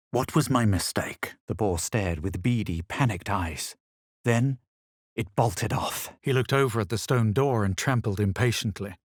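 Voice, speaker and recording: An actor playing a haughty, self-assured male adventurer in a fantasy video game, speaking measured, formal scripted lines with a superior manner. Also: fairly low pitch, no echo, clean studio sound. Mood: composed, superior. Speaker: video game player character.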